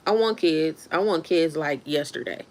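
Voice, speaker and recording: Casting note: thirties woman, lowish voice, loose chatty livestream host answering comments. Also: normal speed, darkish tone. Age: 30s. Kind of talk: casual livestream chat.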